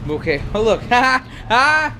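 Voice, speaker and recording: A man's voice: extremely high pitch, big pitch swings, normal speed, noisy background. A young man in his twenties filming a casual vlog on an arm's-length action camera, joking around and swearing as he walks through town. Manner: joking, swears, laughs a lot. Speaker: vlogger. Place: outdoor streets and shops in a small town. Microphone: action camera held at arm's length.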